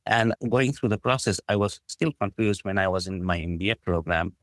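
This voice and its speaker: Middle-aged man, Bangladeshi accent, deep low voice, earnest, conversational storytelling, podcast guest, dry sound. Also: fairly quick, fairly bright tone, no room sound. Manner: earnest, appreciative, frequent "like, you know". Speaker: podcast guest, business school dean. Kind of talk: conversational interview answer, telling personal story.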